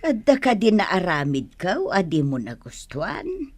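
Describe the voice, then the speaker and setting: Chatty village wife, lively swooping voice. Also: female voice, on the low side, normal speed, no room sound. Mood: concerned. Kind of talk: radio drama acting.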